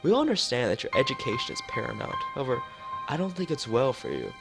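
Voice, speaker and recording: Man reading as a reassuring doctor, creaky voice. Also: mid-range voice, wildly swooping pitch, quick pace. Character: calm, reassuring male doctor explaining a school. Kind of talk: visual novel read-aloud. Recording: game music behind the voice.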